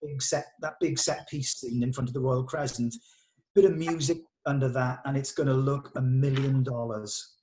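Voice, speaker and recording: Male, mellow dark tone, mid-pitched, informal meeting talk, explanatory with searching pauses. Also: steady tone, low-quality recording. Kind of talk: informal production meeting discussion.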